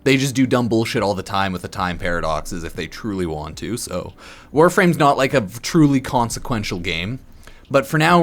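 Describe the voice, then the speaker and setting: A man's voice: mid-range voice, fairly bright tone. Blunt, tipsy man streaming with music behind. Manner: blunt, sarcastic, heavy swearing. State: a bit drunk. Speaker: game streamer. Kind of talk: casual stream commentary on a game's story.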